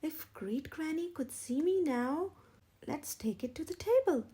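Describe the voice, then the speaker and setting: A woman's voice: sits high, animated, measured pace, warm tone, voice pushed up. A woman voicing a commanding, easily exasperated rooster chef in a children's storybook, with emphatic, instructive delivery. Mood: proud, reassuring.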